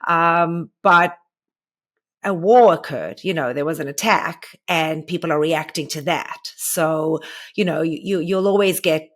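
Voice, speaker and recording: Low-voiced woman chatting on a podcast. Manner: hesitant, pauses often to search for words. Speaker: podcast co-host. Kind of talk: casual podcast chat. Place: lives in the United States.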